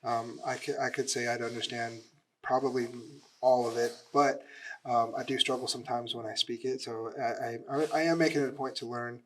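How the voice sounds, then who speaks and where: Young man, panelist, mid pitch, loose rambling speech, faint background noise. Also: steady tone, brisk, fairly bright tone, rough recording. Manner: rambling, many fillers and restarts. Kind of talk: casual panel answers.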